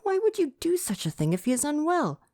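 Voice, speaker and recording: A man's voice: extremely high pitch, wildly swooping pitch, brisk, dark tone, no echo, studio quality, heavily acted. A man playing a prim, devoted royal butler with formal, fretful diction in an ASMR roleplay. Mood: scolding, prim.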